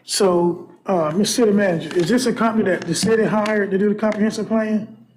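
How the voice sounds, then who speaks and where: Board member guy asking a question, pretty high voice, careful about it. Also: a little fast, a little mellow, slight background noise, rough recording. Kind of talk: question at a public meeting.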